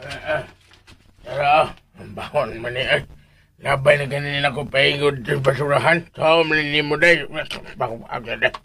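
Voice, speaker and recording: A man's voice: medium pitch, steady tone, moderate pace, vocal fry, pushed and bright. A man playing a simple, put-upon, grumbling helper in a comic radio drama, with hesitant, muttered interjections.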